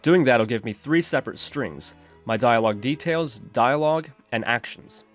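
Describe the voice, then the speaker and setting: A man's voice: mid-range voice, normal speed. A man narrating an instructional screencast as a tutorial host, explaining methodically step by step. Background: background music.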